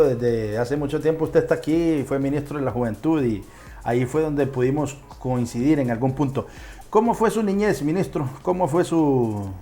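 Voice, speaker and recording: A male host with a Honduran accent conducts a casual interview over a video call. His voice sits at a medium pitch, and music plays softly behind him. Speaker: talk show host.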